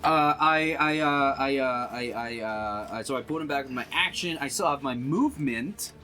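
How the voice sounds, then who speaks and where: A man's voice: medium pitch, moderate pace, bright, forceful tone. A man playing a tabletop roleplaying game, talking casually and hesitantly as he thinks aloud. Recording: faint music behind the voice. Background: faint music.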